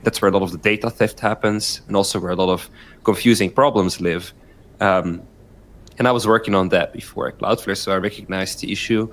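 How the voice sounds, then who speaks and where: Male guest, slightly low voice, fluent technical explainer. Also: steady tone, fairly quick, fairly bright tone. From Belgium. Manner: fluent explainer, tags points with "right?". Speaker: guest, company founder. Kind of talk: explanatory interview answers.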